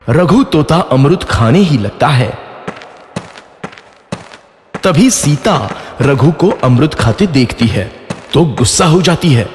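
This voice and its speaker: A man's voice: a little high, lively intonation, slow pace, pauses often, a little hiss or hum. A man reading scripted storybook narration for a children's cartoon. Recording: echoey, long reverb, faint music behind. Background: faint music.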